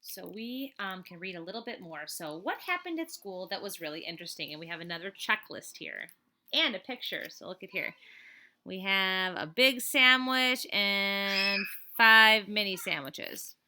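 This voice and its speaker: A woman reading a children's book aloud as a teacher, in an expressive, chatty way with casual asides. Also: mid-range voice, normal speed. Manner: expressive, chatty, emphatic on list items.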